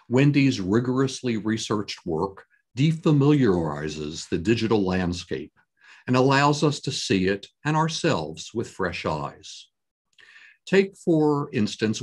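A man in his sixties giving a formal, scripted opening address as a conference host, with measured, deliberate phrasing and a husky voice. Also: fairly low pitch. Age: 60s. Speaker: conference host introducing a keynote speaker. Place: home office with bookshelves, indoors. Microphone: computer webcam at desk distance, video call.

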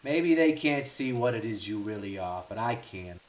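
An actor playing a stern, lecturing federal agent in an old-time radio drama. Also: male voice, lowish voice, lively intonation, normal speed, close to an everyday voice. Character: righteous federal agent, grown man.

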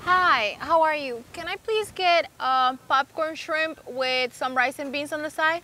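A young woman co-hosting a vlog in casual, polite talk. Her voice is high and bright. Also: measured pace. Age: 20s. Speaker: vlog co-host.

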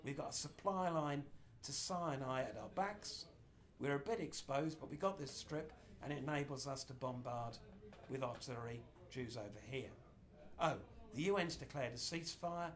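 A man's voice: medium pitch, moderate pace. A middle-aged man giving an informal history lecture in a small indoor room, gesturing broadly as he explains. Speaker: speaker giving a history talk.

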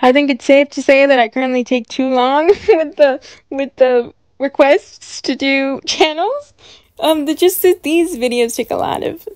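Casting: female reaction host, high voice, excitable and gushing, chatty delivery, dry close sound. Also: no echo. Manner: gushing, excitable, repeats words for emphasis. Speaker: host of a reaction video series. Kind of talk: casual chatty reaction commentary.

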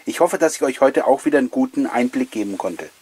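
A man's voice: mid-range voice, lively intonation, fast talker, darkish tone, breathy edge. Effusive middle-aged man hosting. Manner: effusive, addressing viewers directly. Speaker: host of a collectors' retro show. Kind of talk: enthusiastic chatty presenting to camera.

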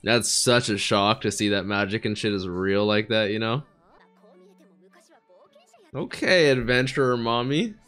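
Brief: young American-born male streamer, hoarse from illness, chatty and giddy, close boom mic in a small bedroom studio. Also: unhurried, pauses often, soft background music. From United States. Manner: excitable, chatty, laughing often. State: raspy, sick voice. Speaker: anime reaction streamer. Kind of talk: casual anime reaction commentary. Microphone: studio mic on boom arm, headphones.

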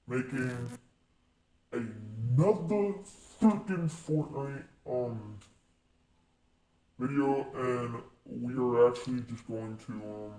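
A male video creator talks casually over gameplay editing through a deepening voice changer. His delivery is slow and the tone dark, in an echoey space. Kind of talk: casual gaming vlog narration. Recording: roomy, echoing sound.

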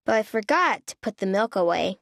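A woman's voice: medium pitch, expressive, fairly quick, vocal fry, fairly bright tone, dry recording, clean studio sound. A woman narrating a children's storybook expressively, voicing an earnest, forgetful little boy critter with a childlike manner. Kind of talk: expressive children's read-aloud in a young child character's voice.

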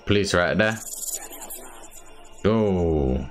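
A man's voice: deep voice, animated. A man in his thirties with a British accent, reacting casually to a music video, recorded on a headset in a small home room. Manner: slow, hyped interjections between song plays. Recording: loud rap music playing behind.

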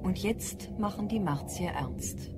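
A woman with a lowish voice gives scripted narration for a radio play. She reports events crisply and factually, in declarative phrases. Music is clearly heard behind her. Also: slow. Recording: studio voice over clear music bed.

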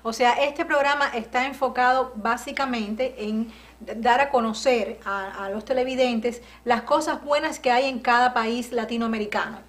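Middle-aged woman TV host, higher voice. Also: steady tone, moderate pace, a bit bright. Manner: welcoming, asks prompting questions. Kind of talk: studio interview. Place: TV studio set.

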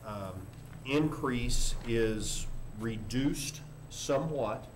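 An older man, a school superintendent, giving a formal report at a board meeting in a halting, measured way with frequent pauses. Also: medium pitch. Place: indoor board meeting room. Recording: noisy meeting room microphone.

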